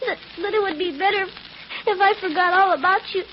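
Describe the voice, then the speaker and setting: A woman's voice: very high voice, fairly quick. An actress playing a sensitive, emotional young girl in 1940s radio drama style. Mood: tearful. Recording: muffled, noisy old radio broadcast.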